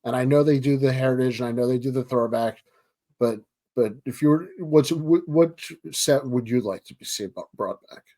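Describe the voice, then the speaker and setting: A man's voice: mid-range voice, moderate pace, mellow. A man in his thirties with a Baltimore accent, hosting a casual interview over a video call from a home office, jokey and chatty, stumbling over his words now and then. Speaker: host. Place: home office with framed pictures. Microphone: webcam video call.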